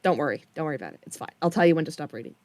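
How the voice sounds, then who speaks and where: A woman's voice: deep voice, expressive, racing, a crowd in the background. A woman chatting casually as a story's creator troubleshooting it live, informal, swearing and repeating her phrases. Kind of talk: casual chat.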